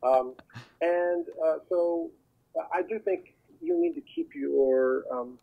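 A man speaking as a talent agent guest, giving advice in an earnest, halting, conversational way over the telephone. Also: a little high, huge pitch swings, gravelly. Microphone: telephone call. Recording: muffled narrow phone line.

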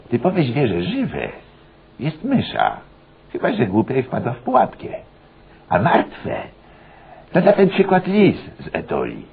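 An actor playing a pompous, bootlicking, dim trainee who recites ponderously in muddled officialese for a radio satire, in a very husky voice. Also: male voice, mid-range voice, slight background noise. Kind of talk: satirical radio cabaret acting. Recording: tinny, band-limited radio recording.

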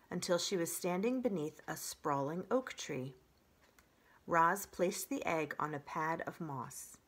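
A woman in her forties reading a children's story aloud in an expressive narrating style. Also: on the low side, slightly rough. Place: indoors by a brick fireplace.

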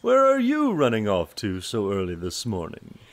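A man playing a sly wolf in a fairy-tale audio drama, with a husky voice. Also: on the low side, wildly swooping pitch, normal speed, no room sound. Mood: slyly polite. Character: sly male wolf greeting a young girl.

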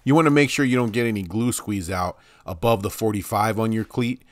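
A man's voice: on the low side, brisk. A middle-aged man hosting a woodworking vlog, talking casually and rambling with self-deprecating humor as he works in his small garage workshop, recorded on a clip-on mic. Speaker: woodworking channel host. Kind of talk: casual how-to vlog talk.

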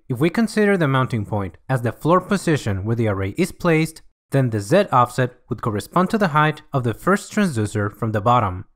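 Male tutorial narrator, mid-pitched, instructional and measured, with varied, lively intonation. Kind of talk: scripted software tutorial narration.

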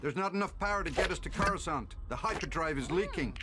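A man as high-voiced young space adventurer. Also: expressive, fast, very noisy, close to an everyday voice. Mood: urgent. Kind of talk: animated video game cutscene acting.

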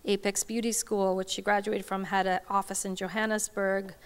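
Smooth-voiced woman lecturing from a podium. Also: medium pitch, flat delivery, moderate pace. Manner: hesitant, many fillers and restarts. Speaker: lecturer answering audience questions. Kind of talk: academic Q&A talk. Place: large hall with an audience. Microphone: podium microphone.

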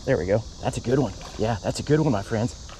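A man vlogging while fishing outdoors by a canal, talking casually and breaking into excited exclamations. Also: mid-range voice, fast talker, a little hiss or hum. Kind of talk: casual fishing vlog narration.

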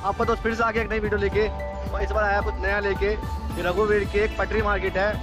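A man's voice: sits high, level tone, a little fast. A young man vlogging casually as he walks through a street market, talking to the camera held at arm's length and saying "matlab" often. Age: young adult. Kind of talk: walking market tour vlog. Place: crowded outdoor street market. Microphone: action camera held at arm's length. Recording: loud crowd and music behind. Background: loud crowd and music.

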